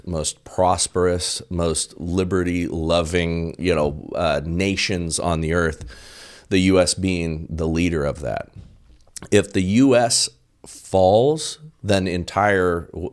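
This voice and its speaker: Man, very low voice, emphatic conversational guest, fond of "okay?" tags. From United States. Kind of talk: casual political conversation.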